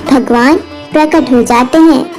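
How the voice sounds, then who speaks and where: A woman's voice: high voice, a little slow, darkish tone. A woman narrating a scripted devotional moral story as its storyteller. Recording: music behind the voice.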